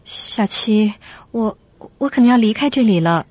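A woman playing a gentle, anxious young secretary in a radio drama, speaking in a hesitant, subdued way. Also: a little high, lively intonation, moderate pace, dry recording, lo-fi sound. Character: gentle, anxious young office secretary, resigned and self-effacing.

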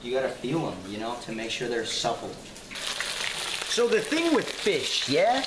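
A man's voice: medium pitch, moderate pace. Young guy cooking at home, swears a lot, cocky, voice jumps all over, echoey noisy kitchen. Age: young adult. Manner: cocky, swearing, lively explaining. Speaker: home cook vlogger. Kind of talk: casual cooking demo. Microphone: handheld camera nearby.

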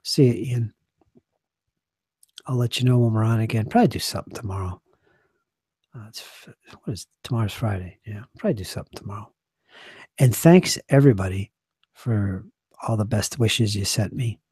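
A middle-aged man with a hoarse, husky voice talks loosely to his viewers, rambling and trailing off into near-murmurs. His voice sits a bit low, and the sound is close and dry from a desk microphone in a small study. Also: normal speed, pauses often, no room sound. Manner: rambling, earnest, trailing off quietly. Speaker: game master and channel host. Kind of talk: casual live-stream chat. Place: small home study with shelves.

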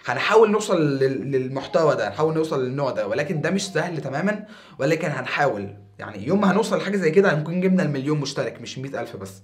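A young man in his twenties with an Egyptian Arabic accent, talking casually to camera as a YouTuber in a small foam-paneled room, animated and a bit tired. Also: a little high, racing. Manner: animated, gestures a lot with his hands.

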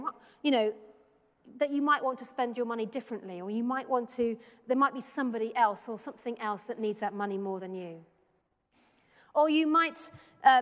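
A woman in her thirties preaches a sermon, reflective and conversational, with small restarts as she thinks aloud. Her voice sits fairly high. The sound carries a long reverb from the church sanctuary. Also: fairly bright tone. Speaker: pastor.

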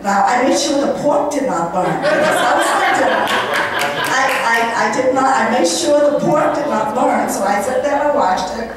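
A woman's voice: sits high, normal speed, a crowd in the background. A middle-aged woman telling a personal story as a live monologue, conversational and acting out bits of dialogue. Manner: conversational, animated retelling with quoted dialogue. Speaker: guest speaker at a charity event. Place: indoor function room at a country club. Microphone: handheld microphone, picked up by a far camera. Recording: echoey room, distant camera microphone, room noise.

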